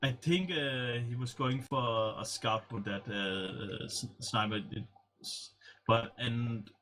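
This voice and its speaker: A man's voice: medium pitch, slow pace, sharp tone. A man co-casting a strategy game replay, speaking hesitantly with many fillers and chuckling along, on a home microphone. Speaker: game co-caster. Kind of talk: casual strategy game commentary.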